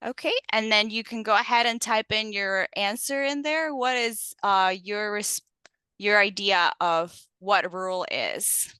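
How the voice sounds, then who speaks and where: Young woman giving a talk to teachers over video call, crisp, dry sound, friendly. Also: medium pitch, a little slow, bright, forceful tone, dry recording. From Colombia. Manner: friendly, explanatory, with frequent ums. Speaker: guest speaker, teacher trainer. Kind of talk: online presentation.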